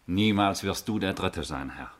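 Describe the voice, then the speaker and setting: An actor in a 1950s German radio play playing a loyal, reverent servant of an emperor, formal and deferential. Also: male voice, low voice, animated, moderate pace. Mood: earnest.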